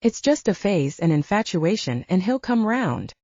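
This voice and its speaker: A woman's voice: mid-range voice, big pitch swings, moderate pace, darkish tone, dry recording, muffled recording. A woman voicing a blunt, swearing male werewolf soldier who is loyal to his team, in slangy audiobook dialogue. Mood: defensive.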